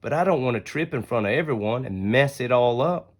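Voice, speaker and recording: Guy reading a kids storybook out loud, medium pitch, takes his time and acts it out. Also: expressive. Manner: deliberate storytelling with acted-out dialogue. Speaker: story reader. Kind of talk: expressive children's picture book read-aloud.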